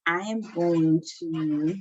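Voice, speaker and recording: A woman's voice: on the low side, drawn-out words, darkish tone, breathy edge. Upbeat woman hosting an online workshop. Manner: chatty and upbeat, many ums and likes. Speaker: workshop host. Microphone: video call. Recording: slightly dull video call audio with faint noise.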